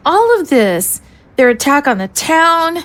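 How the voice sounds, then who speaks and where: A woman's voice: high voice, sing-song, unhurried, a bit bright, no echo, heavily acted. An actress playing an earnest, guilt-ridden young female fugitive in an audio drama, speaking hesitantly. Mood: guilty, downcast.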